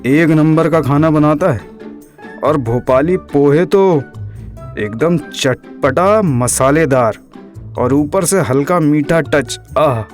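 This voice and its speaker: A man's voice: fairly high pitch, slow, soft background music. A man playing a fussy middle-aged father in a comic audio drama. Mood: teasing, chatty.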